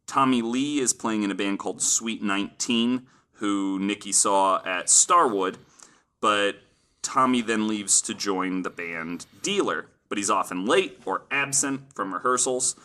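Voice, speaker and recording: A man with a fairly low, bright and forceful voice hosts a podcast. He tells stories from his notes in a casual, informal way and is happy to swear. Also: unhurried. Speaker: podcast host and storyteller.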